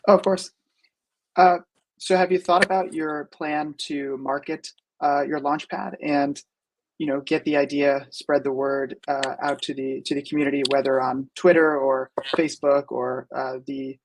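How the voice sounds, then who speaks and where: A man's voice: on the high side, level tone, normal speed, background noise. A man judging a hackathon who asks rambling, friendly questions on a video call. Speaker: hackathon judge.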